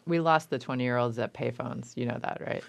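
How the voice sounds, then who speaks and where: A woman's voice: a bass voice, creaky, over a noisy crowd. A woman hosting a radio interview show in a studio, chatting easily and reading a scripted sign-off. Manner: quick reactive interjections, easy conversational. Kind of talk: interview chat and scripted outro.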